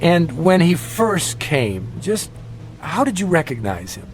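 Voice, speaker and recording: An actor playing a polite, educated young gentleman in a radio drama, hesitant and questioning in his delivery. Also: fairly high pitch, big pitch swings, slow, a little hiss or hum. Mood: probing. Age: young adult. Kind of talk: classic radio drama acting. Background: music.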